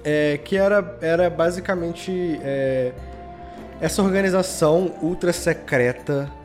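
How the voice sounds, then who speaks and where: Higher-voiced Brazilian man chatting as podcast guest. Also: a little slow, darkish tone, soft background music. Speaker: podcast guest, audio drama creator. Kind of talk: casual interview chat.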